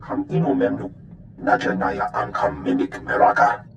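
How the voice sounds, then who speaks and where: An actor voicing a gruff alien with a gravelly voice, speaking an invented tongue in a video game. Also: male voice, lowish voice, huge pitch swings, normal speed, a bit bright, full character voice. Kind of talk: scripted video game dialogue. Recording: dull, roomy sound with faint noise.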